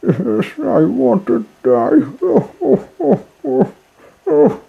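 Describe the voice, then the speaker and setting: Man doing a wooden puppet boy, higher than his narration, gravelly, husky, pleading, storybook. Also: animated, extremely slow, narrow, tinny audio, heavily acted. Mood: grieving, pleading. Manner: pleading, tearful. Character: grieving young wooden puppet boy, desperate. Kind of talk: storybook reading.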